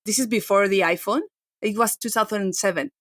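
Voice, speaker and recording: A woman's voice: mid-range voice, fairly quick, fairly bright tone, no echo, clean studio sound. A woman in her forties, a tech journalist chatting on a podcast, talking loosely with many restarts and "like"s. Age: 40s. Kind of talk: casual podcast chat.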